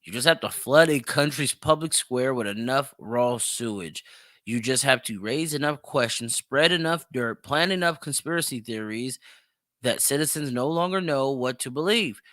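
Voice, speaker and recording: A man's voice: mid-range voice, moderate pace, a bit bright, no room sound, studio quality. A man giving opinionated solo commentary as a podcast host, emphatic and repeating phrases for stress.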